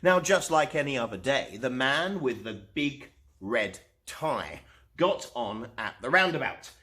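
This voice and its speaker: A man reading a children's storybook aloud as host, expressively and with dramatic pauses. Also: mid-range voice. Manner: animated, unhurried, dramatic pauses.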